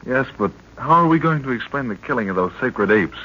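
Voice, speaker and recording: A man's voice: mid-range voice, animated, fairly quick, pushed and bright, breathy edge. A man playing an earnest young expedition member in an old radio serial, questioning and respectful toward his leader. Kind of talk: 1930s-40s radio serial acting. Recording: muffled old radio recording.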